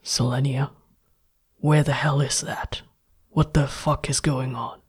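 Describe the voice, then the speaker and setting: Woman doing a gruff gravelly captive man. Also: fairly even intonation, takes it slowly, a little mellow, heavily acted. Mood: angry, menacing. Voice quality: deep, gravelly.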